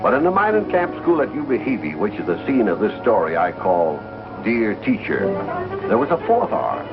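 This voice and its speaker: Folksy old ranger with swooping delivery. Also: male voice, fairly low pitch, sing-song, normal speed. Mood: folksy storytelling. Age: older. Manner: folksy, theatrical emphasis. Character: folksy old ranger, storyteller of frontier tales. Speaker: host and narrator. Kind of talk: 1950s TV western scripted narration. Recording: muffled vintage TV soundtrack, music.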